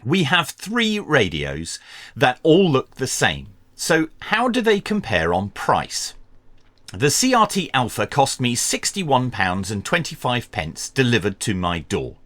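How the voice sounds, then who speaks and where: A man presenting a scripted product review in a measured, dryly humorous presenter's delivery. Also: mid-range voice, huge pitch swings, fairly bright tone. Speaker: radio review channel host.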